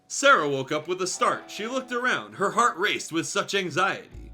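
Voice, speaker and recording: A man's voice: sits high, big pitch swings, normal speed, bright, forceful tone, soft background music. A man reading fan fiction aloud as a streamer, in a theatrical, irreverent storytelling style with joking asides.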